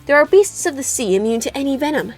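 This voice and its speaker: A proud female water warrior voiced by a woman with a fairly high voice. She is composed and defiant, in animated fan-dub style with music underneath. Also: fast.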